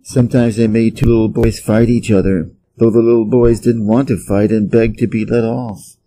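A man from Wisconsin with an American Midwest accent, reading a children's story aloud slowly and clearly for English learners. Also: on the low side, flat delivery, dark tone, slight background noise, poor audio. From Wisconsin, USA. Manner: careful, evenly paced read-aloud. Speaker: audiobook reader for English learners.

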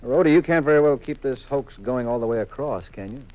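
An actor playing an easygoing, dryly amused detective in a 1950s radio drama. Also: male voice, mid-range voice, animated, fairly quick, darkish tone, close to an everyday voice, low-quality recording. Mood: probing. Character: easygoing, wry male detective, a married man.

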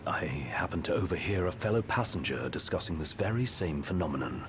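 A composed young royal adviser from a video game. His low voice moves expressively through formal, carefully articulated sentences. Loud train ambience sits behind him. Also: expressive, a little fast, noisy background. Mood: measured.

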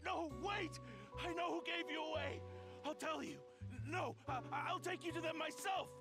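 A man's voice: very high voice, moderate pace. An actor playing a cowardly male henchman in a game trailer, frantic and pleading for mercy. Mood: terrified, pleading. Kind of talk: game character trailer acting. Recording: game trailer under music. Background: music.

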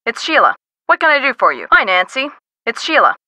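Bright, brisk middle-aged director. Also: female voice, on the high side, huge pitch swings, no room sound, full character voice. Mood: helpful. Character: businesslike, wry middle-aged woman, cultural center director. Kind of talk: video game voice acting.

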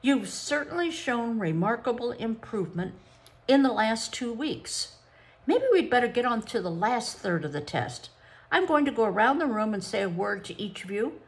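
A woman putting on a prim, spiteful lady psychologist. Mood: condescending. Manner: brisk, schoolmarmish, turns shrill when excited.

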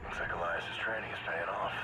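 An actor playing a calm special forces soldier in a video game, giving clipped, low-key tactical commands. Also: male voice, fairly quick, over loud music, natural voice, low-quality recording. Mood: quiet, focused. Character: calm, terse male special forces soldier leading a night raid.

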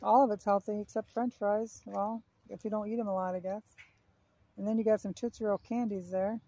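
A woman behind the camera in a backyard, casually coaching and gently teasing children through a pretend game. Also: medium pitch, flat delivery, brisk, low-quality recording. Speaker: parent filming children at play. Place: backyard, outdoors. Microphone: handheld camera, speaker behind it.

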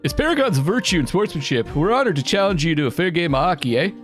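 A man's voice: on the high side, animated, quick pace, close to an everyday voice. A Canadian man reading the lines of a proud, formal hockey referee from a video game, tagging "eh" onto his sentences. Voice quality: reading game dialogue aloud. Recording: game music playing behind.

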